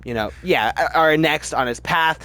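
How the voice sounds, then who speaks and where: A man's voice: a little high, unhurried, sharp tone, dry recording, low-quality recording. A man hosting a casual, opinionated film ranking video, rambling as he goes and often repeating words for emphasis. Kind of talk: casual opinionated movie commentary.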